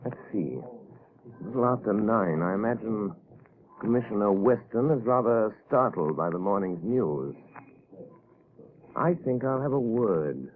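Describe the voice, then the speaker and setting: A faintly hissy, narrow vintage radio recording. A composed gentleman sleuth speaks in a fairly low, suave, thoughtful voice, with the polish of 1930s drama acting. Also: lively intonation, unhurried, pauses often. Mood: calm, thoughtful.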